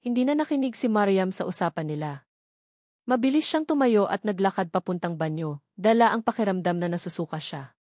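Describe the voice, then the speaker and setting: Female storyteller, Filipino accent, medium pitch, scripted dramatic narration, dry studio-like sound. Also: darkish tone, no room sound.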